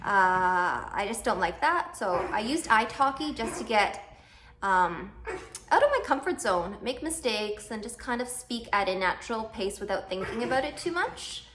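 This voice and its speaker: A woman's voice: medium pitch, lively intonation, normal speed, fairly bright tone, slight background noise. A woman in her thirties from Canada, chatting casually to camera in a vlog, self-deprecating and full of fillers. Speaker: vlogger.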